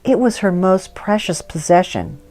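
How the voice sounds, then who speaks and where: A woman's voice: medium pitch, animated, normal speed, a little mellow. A woman reading a scripted story aloud as a storyteller.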